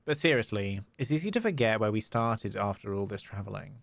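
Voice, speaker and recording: A man livestreaming a game, excitable, casually commenting and reading dialogue aloud, with exclamations. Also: on the low side, vocal fry. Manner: excitable, reacts with gasps and drawn-out exclamations. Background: game music.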